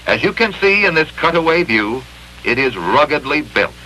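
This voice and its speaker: A man narrating a 1960s industrial training film in an emphatic, clearly enunciated instructional manner, from an old film soundtrack. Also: fairly high pitch, big pitch swings, normal speed, sharp tone, a little hiss or hum, rough recording.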